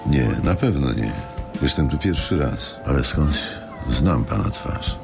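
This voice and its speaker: An actor playing a bitter, menacing middle-aged businessman in a radio drama, with slow, controlled delivery. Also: expressive, music in the background, full character voice. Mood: calm, guarded. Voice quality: very deep, gravelly. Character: bitter, menacing self-made businessman, a grieving father seeking revenge.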